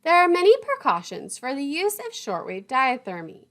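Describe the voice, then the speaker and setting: A woman's voice: high voice, wildly swooping pitch, moderate pace, a bit bright. A woman lecturer reading scripted instructional material in a methodical, didactic way, setting out terms and lists one by one.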